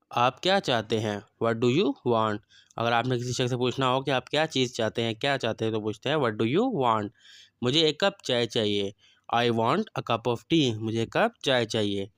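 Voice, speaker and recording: A male English teacher walks through everyday phrases, giving each one in first. His voice is smooth and clear and sits at a middle pitch. The sound is dry and close, with the English words drawn out slowly. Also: a bit bright, no echo. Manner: explains each phrase in Urdu, then says the English slowly and deliberately. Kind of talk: spoken language lesson.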